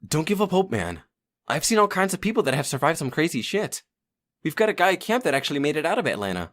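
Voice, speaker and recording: A man's voice: fairly high pitch, sing-song, quick pace, fairly bright tone. A man playing a streetwise young survivor who guides a newcomer in a dubbed comic drama. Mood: grave, explaining.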